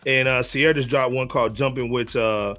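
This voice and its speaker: A man's voice: medium pitch, fairly even intonation, a little fast, sharp tone, faint music behind. A man hosting a casual hip hop panel chat, talking in a slangy, joking way. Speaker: podcast host.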